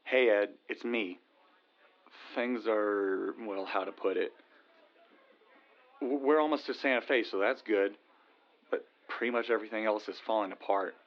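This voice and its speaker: An actor playing a worried, weary male traveler in an audio drama, talking in a casual, halting, wry way. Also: lowish voice, lo-fi sound. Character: worried, weary man on a hard journey. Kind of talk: naturalistic audio drama acting.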